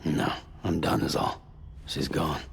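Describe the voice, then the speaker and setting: An actor playing a weary, reluctant man of few words in a video game, gruff and terse. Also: little pitch movement, unhurried, heavily acted. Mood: weary, flat. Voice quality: gravelly. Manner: terse, low-key. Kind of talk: video game cutscene acting. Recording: music behind the voice.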